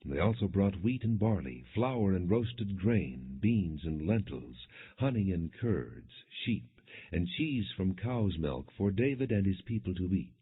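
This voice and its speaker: A man reading scripture as an audiobook narrator, in measured, clearly enunciated storytelling without dramatization. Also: sits low, lively intonation. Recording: muffled, phone-like lo-fi audio.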